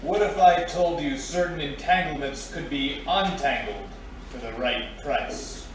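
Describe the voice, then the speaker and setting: An actor playing an insinuating, menacing underworld schemer in a noir radio drama, talking in gangster slang. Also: male voice, fairly high pitch, unhurried, close to an everyday voice. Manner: veiled threats, gangster slang. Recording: roomy, distant, faint noise.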